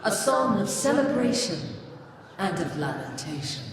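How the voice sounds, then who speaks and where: An actor playing a solemn, ancient nature spirit in a video game, chanting poetically and portentously through heavy reverb. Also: male voice, sits high, sing-song, a little slow, distant mic, over a noisy crowd. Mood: solemn, mournful. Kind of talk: slow, chanting dramatic monologue. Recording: cavernous echo, long reverb, noisy ambience.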